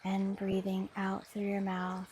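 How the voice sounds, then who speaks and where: A woman guiding a mindfulness body scan meditation with soft, soothing instructions. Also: mid-range voice, monotone, measured pace, noisy background. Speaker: meditation guide. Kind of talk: guided meditation.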